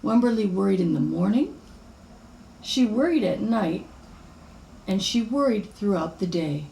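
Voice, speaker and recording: A woman reading a children's picture book aloud in an expressive style. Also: medium pitch, measured pace, vocal fry, a little hiss or hum. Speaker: storybook reader. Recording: echoey room.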